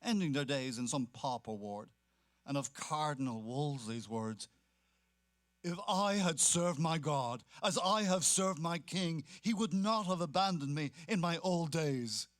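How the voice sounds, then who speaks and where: A man's voice: on the high side, lively intonation, measured pace, dry recording, studio quality. A man reading scripted literary narration as part of a staged reading.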